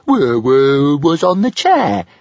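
A small, sulky, stammering dragon, acted out by a man in a storybook reading. The voice is pushed high, slightly husky and slightly unlike the reader's own timbre. The recording is dull and lo-fi. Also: wildly swooping pitch, slow pace, darkish tone, dry recording, exaggerated voice. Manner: stutters on words.

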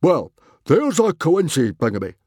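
Big dumb hearty viking dad for a kids story, loud blustery husky voice, pitch jumping all over. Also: male voice, expressive, normal speed, dry recording, studio quality. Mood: boisterous, hearty. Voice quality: booming, husky. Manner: roaring, bellowing, blustering. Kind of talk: children's audiobook character voice.

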